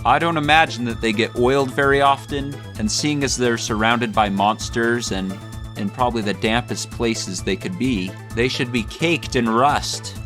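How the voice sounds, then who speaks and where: A male host delivers comedic scripted commentary at a middling pitch. His delivery is mock-grandiose and tongue-in-cheek, and light music plays behind him. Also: normal speed, fairly bright tone. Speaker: video essay host.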